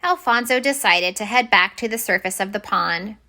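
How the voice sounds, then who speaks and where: A woman reading a children's picture book aloud as expressive story-time narration. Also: mid-range voice, moderate pace.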